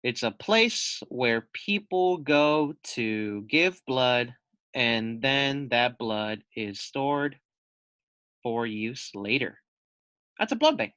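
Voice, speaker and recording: A man's voice: mid-range voice, lively intonation, extremely slow, sharp tone, clean tone, dry recording. A man giving a read-aloud lesson for young children as their teacher, explaining step by step, posing questions and repeating key points.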